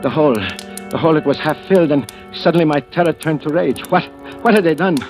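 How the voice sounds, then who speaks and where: An actor playing a nervous, secretive, obsessed treasure hunter in an old radio thriller, speaking haltingly and dramatically. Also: male voice, fairly high pitch, close to an everyday voice. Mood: frantic. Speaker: actor narrating and playing the lead. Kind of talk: 1940s-50s radio thriller acting, first-person narration. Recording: old radio recording with music.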